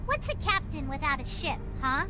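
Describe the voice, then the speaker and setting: Blunt, high-voiced young mage. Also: female voice, big pitch swings, normal speed. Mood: dry. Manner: blunt and dry. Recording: game audio with loud music behind.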